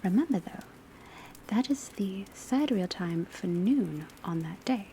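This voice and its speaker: A woman playing a witch academy professor, lecturing in a soft, breathy ASMR voice, often whispered, in a measured and formal way. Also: medium pitch. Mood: calm, instructive. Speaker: teacher. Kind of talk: ASMR classroom roleplay lecture. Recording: steady rain and crackling fire noise. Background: rain on rooftop, fireplace, pencil writing.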